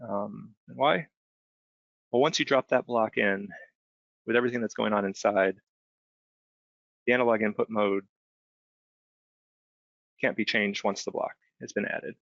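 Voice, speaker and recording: A man with a heavily fry-laden, lowish voice walks through software like a trainer on a webinar. He explains each step in a conversational way, tossing in little check-in questions. The sound is close and dry, slightly dulled. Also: unhurried, pauses often, dry recording. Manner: conversational, explanatory, frequent check-in tags like "right?". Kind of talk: informal step-by-step software demonstration. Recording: dull, band-limited close dry voice recording.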